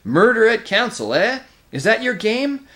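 A man voicing a hot-tempered, sour male dwarf, loud and challenging, in a storybook reading. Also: high-pitched, big pitch swings, measured pace, voice pushed up, exaggerated voice. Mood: defiant, threatening.

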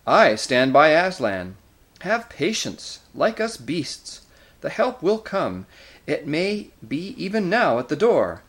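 A man's voice: a little high, big pitch swings, slow pace, fairly bright tone, voice pushed up, full character voice. A man voicing a loyal, steadfast talking badger with a thick voice, earnest and firm, in a storybook. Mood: steadfast, patient.

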